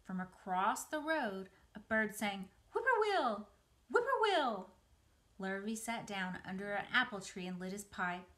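A woman's voice: medium pitch, normal speed, few pauses, sharp tone. A middle-aged woman narrating a children's storybook aloud as an educator, recorded indoors in a small room. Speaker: museum educator reading aloud.

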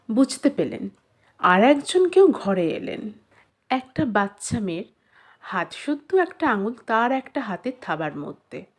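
A woman narrating a literary short story in an expressive scripted style, measured and with dramatic pauses. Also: fairly high pitch, slightly rough.

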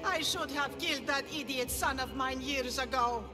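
A woman's voice: high-pitched, moderate pace, big-room sound, music in the background, close to an everyday voice. An actress playing a sinister, fanatical older priestess in a video game, grand and theatrical, cruel yet falsely motherly. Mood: cold, wistful. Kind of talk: dramatic video game acting.

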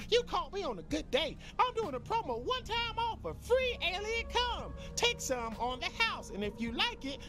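A man's voice: very high voice, exaggerated voice. A man playing a sleazy, chatty alien street peddler in a comedy video game, rambling through brash, shameless sales patter. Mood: eager salesman. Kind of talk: improvised-sounding comedic video game dialogue.

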